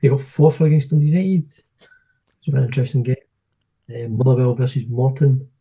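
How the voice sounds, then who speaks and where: A man's voice: mid-range voice, steady tone, brisk, warm tone, dull audio. A man with a Scottish accent talking football casually as a podcast co-host.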